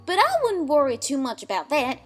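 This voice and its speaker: A woman voicing a bossy, lively young filly pony with an emphatic, folksy, commanding manner in a storybook reading. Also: high voice, fairly quick, faint music behind, pitched above the performer's own voice. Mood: bossy, explaining.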